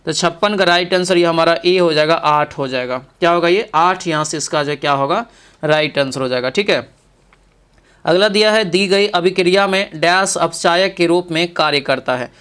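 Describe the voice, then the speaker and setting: A man's voice: a little high, normal speed, fairly bright tone, smooth. A man teaching an exam practice lesson, reading each question aloud and then confirming the answer.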